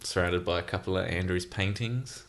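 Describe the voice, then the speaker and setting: A man's voice: sits low, moderate pace, fairly bright tone. A young man chats as a podcast guest in his lounge room, joking and giggling. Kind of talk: casual chat.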